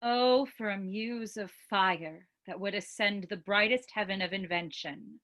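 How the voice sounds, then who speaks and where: Casting: woman to play earnest stage Chorus, classical verse, unhurried and declamatory, mid-pitched. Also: fairly bright tone, lightly acted. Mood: rousing. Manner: measured, declamatory. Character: Chorus, earnest prologue speaker of a Shakespeare history play. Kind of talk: classical Shakespeare stage recitation.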